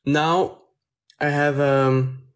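Slow-talking teen boy. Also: a little high, little pitch movement. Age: teens. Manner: chatty, answers viewer questions, drifts between topics. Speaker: livestreamer. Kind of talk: casual livestream chat. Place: small room indoors at night. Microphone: phone at arm's length.

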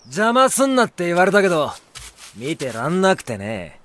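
An actor playing an easygoing, breezy young wanderer in an audio drama, chiding hotheads in casual speech as an exasperated peacemaker. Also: male voice, high voice, huge pitch swings, normal speed, a bit bright, full character voice. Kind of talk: Japanese anime-game audio drama acting.

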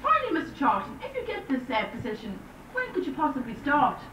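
Woman playing a wisecracking secretary, lively voice, from far off in an echoey room. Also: sits high, fast talker, slight background noise, exaggerated voice. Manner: teasing, dry wisecracks. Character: committee secretary reading out perks. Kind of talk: amateur pub comedy sketch. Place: crowded pub.

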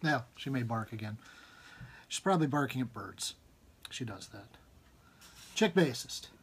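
A man in his forties with a husky voice reads his own fiction aloud informally and expressively, with emphatic rhythm and dramatic pauses, at a desk in a room at home. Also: mid-range voice, expressive. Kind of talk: expressive literary reading, informal. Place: small book-lined room at home, indoors.

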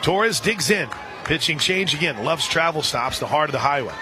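A man calling live softball play-by-play on the radio, with sponsor reads mixed in. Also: a little high, animated, moderate pace. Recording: crowd noise and music behind commentary. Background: stadium crowd and music.

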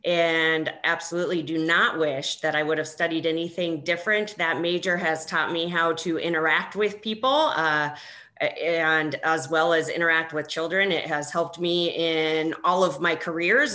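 A woman answering as a panelist over a video call, steady and direct. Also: sits low, moderate pace.

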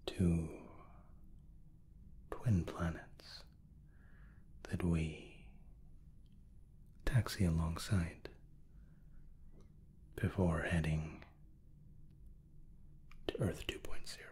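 A man in his thirties whispering softly and courteously as a flight attendant in an ASMR roleplay, close to the microphone. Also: low-pitched, fairly even intonation. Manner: soft, slow, courteous attentive steward.

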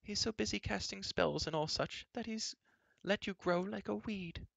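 A man plays a stout, bustling queen who scolds and fusses without pause. Her voice climbs high above his own, with a gravelly edge, recorded close and dry. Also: a bit bright, no room sound, heavily acted. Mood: fussy scolding. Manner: scolding, brisk and bossy. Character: fussy bossy chattering stout queen, middle-aged woman.

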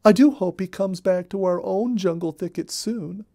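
Man putting on a high, chatty lady rabbit. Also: warm tone. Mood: wistful. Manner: fast, breathless chatter. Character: chatty, gossipy female cottontail rabbit, busybody neighbor.

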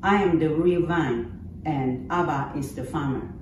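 An older woman, a native Taiwanese speaker, reading scripture aloud slowly and carefully from a sheet of paper. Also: low-pitched, darkish tone. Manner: slow, measured reading from paper. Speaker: scripture reader. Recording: echoey, distant mic with faint hiss.

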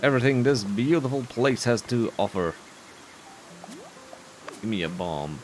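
A man's voice: mid-range voice, lively intonation, unhurried, darkish tone. A man giving casual, rambling commentary on his own gameplay, with muttered, self-deprecating asides. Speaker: gamer narrating his playthrough. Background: faint game music.

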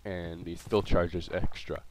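Low nasal man with a cold. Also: level tone, takes it slowly, slight background noise, rough recording. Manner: rambling, many ums and uhs. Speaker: gameplay video host. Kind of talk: casual gameplay commentary.

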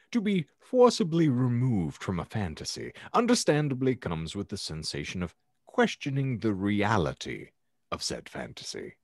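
A man reading fiction aloud in a theatrical, ornate storytelling style with dramatic pauses. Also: lowish voice, a little mellow, slightly rough, dry recording, clean studio sound. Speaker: podcast host reading aloud his own fan fiction. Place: indoor room at home, video call.

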